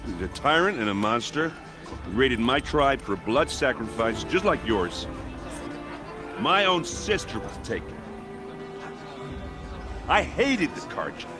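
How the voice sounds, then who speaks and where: An actor playing a blunt, earnest envoy, speaking plainly and emphatically to persuade a crowd in a fantasy game. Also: male voice, medium pitch, sing-song, measured pace, long pauses. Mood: earnest, pleading. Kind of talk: impassioned speech in a fantasy game. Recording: game music behind the voice.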